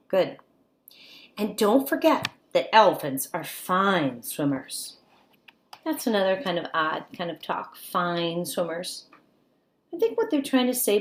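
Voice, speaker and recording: Female primary teacher type for picture-book read-aloud: mid-pitched, highly animated delivery, coaxing, question-led asides to kids. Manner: expressive, coaxing, prompts listeners with frequent questions.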